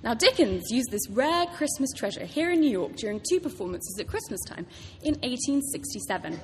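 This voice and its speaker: A woman's voice: a little high, sing-song, a little fast. A British woman author giving a prepared talk to a live audience, telling stories with enthusiasm. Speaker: author introducing a public reading. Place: large hall at a public library event. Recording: reverberant hall, clear background noise.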